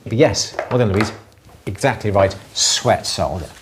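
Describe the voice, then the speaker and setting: A man's voice: on the low side, unhurried, very noisy. A middle-aged man with a Welsh accent, explaining hands-on craft work casually to a live audience and repeating words for emphasis.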